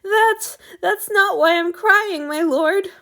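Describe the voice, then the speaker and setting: A woman's voice: high-pitched, a little slow, voice pushed up. A woman voicing an eager, emotional young male servant in a storybook who gushes breathlessly. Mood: tearful. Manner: breathless, gushing, tearful.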